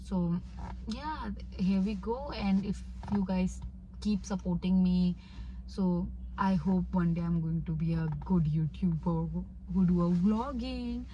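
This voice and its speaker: A woman's voice: on the low side, drawn-out words, warm tone, muffled recording, slight background noise. A young woman in her twenties with an Indian accent, mixing Hindi and English as she casually answers viewer questions in a vlog. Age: 20s. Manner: switches between Hindi and English. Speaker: YouTuber.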